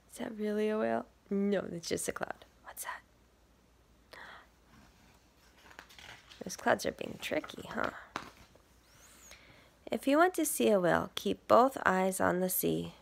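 A woman reading a picture book aloud to young children like a teacher, expressively, asking questions and dropping into hushed, breathy asides. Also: mid-range voice, expressive, takes it slowly, long pauses.

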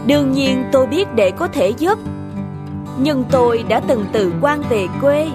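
A woman voicing a humble, contented old male farmer in a cartoon folktale, measured and moralizing. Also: high-pitched, expressive, over music. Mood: calm.

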